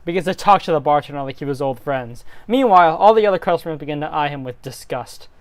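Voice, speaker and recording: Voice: medium pitch, lively intonation, quick pace, no room sound. A narrator giving a dramatic reading of a comic fantasy story, sometimes stumbling and rereading phrases.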